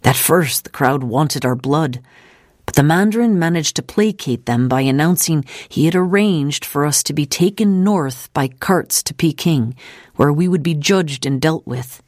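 A narrator voicing a steadfast veteran missionary woman who gravely and deliberately recounts danger in an audiobook. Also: medium pitch, lively intonation, a little mellow, no room sound, studio quality, close to an everyday voice. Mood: steady, composed.

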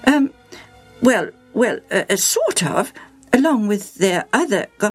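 A woman voicing an anguished, devout and loving mother in a children's Bible story, halting and pleading in a drawn-out way. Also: medium pitch, very animated, slightly rough, faint music behind, voice pushed up, exaggerated voice. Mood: distressed, reproving.

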